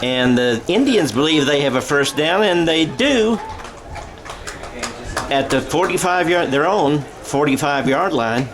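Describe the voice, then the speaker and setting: Middle-aged man, American accent, slightly high voice, football play-by-play, folksy radio style, self-correcting. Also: expressive, a little slow, noisy background. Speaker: play-by-play sports announcer.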